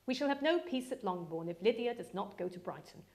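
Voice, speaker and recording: An unruffled middle-aged gentleman father, acted out by a woman reader. He speaks in a measured, dryly teasing way, fond and wry, as in a storybook read aloud. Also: mid-range voice, animated, lightly acted. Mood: wry, placating. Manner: dry, teasing, measured, throat-clearing.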